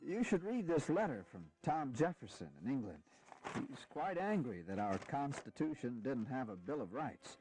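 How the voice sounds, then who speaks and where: An actor as a genial statesman in a historical radio play. His mid-pitched male voice is conversational and moves up and down with lively expression. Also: moderate pace, a little hiss or hum, lightly acted, low-quality recording. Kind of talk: dramatized historical dialogue.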